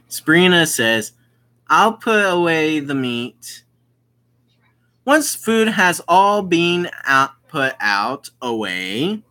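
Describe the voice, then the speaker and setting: A young man casually commenting on a visual novel and reading its text aloud, slangy and excitable. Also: on the high side. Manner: slangy, excitable, exclaims often. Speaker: let's play gamer.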